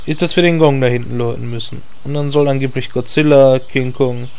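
A man's voice: medium pitch, steady tone, a little fast, a little mellow, clean tone, lightly acted. A man playing a no-nonsense leader of fantasy heroes in a comic audio drama, dry and matter-of-fact. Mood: businesslike.

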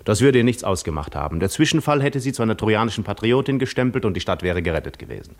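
Cunning Greek war veteran, low voice, measured, reflective, self-assured, articulate, 1950s radio play monologue. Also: male voice, very animated. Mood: musing, wry. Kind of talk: 1950s radio drama monologue, literary first-person narration.

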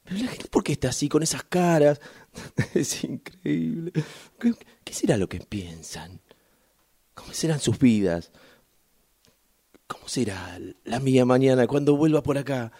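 A man's voice: mid-range voice, very animated, measured pace, warm tone. A man acting in amateur radio theatre as a wistful man waiting for a train. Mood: carefree, musing.

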